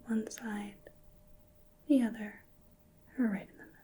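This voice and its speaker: Breathy, whispery young woman, slow and soft. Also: medium pitch, steady tone. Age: 20s. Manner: gentle, slow, careful instructing. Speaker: ASMR performer playing a hearing examiner. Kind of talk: soft-spoken ASMR medical roleplay. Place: small indoor room. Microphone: close microphone, just off camera.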